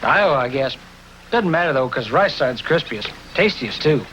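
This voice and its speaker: A proud, stubborn farm father in a TV commercial. His mid-pitched voice sits in clearly noisy vintage broadcast audio. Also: lively intonation, normal speed, pushed and bright. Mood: proud, dismissive. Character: stubborn proud father from a rice-farming family. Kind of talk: television commercial acting.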